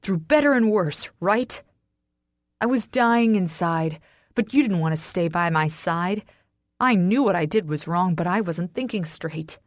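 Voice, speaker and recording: A woman's voice: fairly low pitch, moderate pace, dry recording, studio quality, lightly acted. A woman narrator voicing a bitter, wounded, hot-tempered man in an audiobook, with intense delivery. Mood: broken, anguished.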